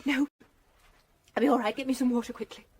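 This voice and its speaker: High-voiced, pert young lady. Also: fairly even intonation, fast, a little mellow, husky, no room sound. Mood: shaken. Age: young adult. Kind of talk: radio drama acting.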